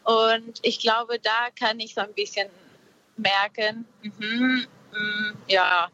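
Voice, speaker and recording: A woman's voice: fairly high pitch, fairly even intonation, slow, sharp tone. A woman from Peru speaks reflectively and hesitantly as a guest on a show, over a lo-fi connection. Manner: hesitant, searching for words. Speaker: caller, guest on a radio show. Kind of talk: casual reflective conversation. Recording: dull, lo-fi connection.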